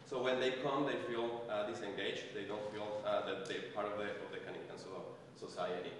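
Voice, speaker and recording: A man, a settlement worker, presenting to an audience, halting often with fillers and restarts. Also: fairly low pitch, steady tone, a little hiss or hum. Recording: echoey hall, far from mic.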